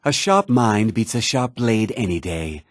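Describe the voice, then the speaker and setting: An actor playing a vain, pompous former ship's captain and fletcher with flowery speech in a video game. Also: male voice, fairly low pitch, expressive, unhurried, no room sound, clean studio sound. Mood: smug, genteel. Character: vain, silver-tongued male ex-captain and fletcher. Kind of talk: video game character lines.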